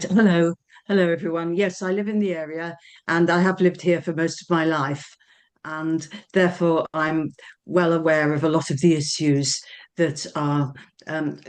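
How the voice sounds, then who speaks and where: Woman candidate, low voice, measured, formal. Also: darkish tone. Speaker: council candidate. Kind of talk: speaking at a public meeting.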